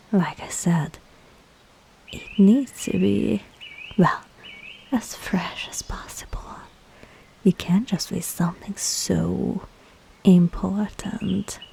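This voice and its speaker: A woman playing a scheming, seductive witch in a whispered ASMR roleplay, breathy and teasing, with falsely soothing reassurance. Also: fairly low pitch, slow, long pauses, warm tone, exaggerated voice.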